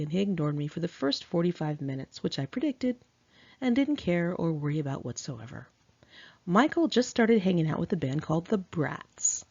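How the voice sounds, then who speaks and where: A woman's voice: low voice, gravelly, warm tone, slightly rough, dry recording, highs cut off. A woman reads her own memoir aloud in an informal, candid manner, as an author narrating.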